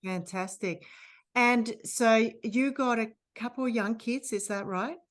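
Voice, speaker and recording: A woman's voice: on the high side, unhurried. A woman hosting a podcast, introducing and interviewing her guest in a casual, effusive way with many fillers and restarts. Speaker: podcast host.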